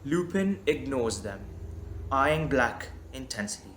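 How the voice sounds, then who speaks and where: A man reading scripted dramatic narration in a deliberate, suspenseful manner. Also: mid-range voice, expressive, a bit bright. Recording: echoey, music underneath. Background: music.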